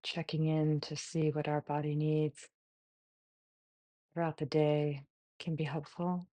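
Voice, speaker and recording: Female meditation guide, mellow and even, gentle short phrases with long pauses, close dry sound. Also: low-pitched, dry recording. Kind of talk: guided body scan meditation.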